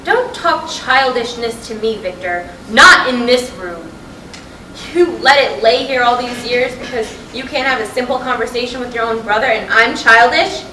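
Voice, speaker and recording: A woman's voice: on the high side, moderate pace, natural voice. A woman in a staged play reading, playing a blunt, restless middle-aged wife who is fed up. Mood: exasperated. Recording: echoey room from far off, noisy.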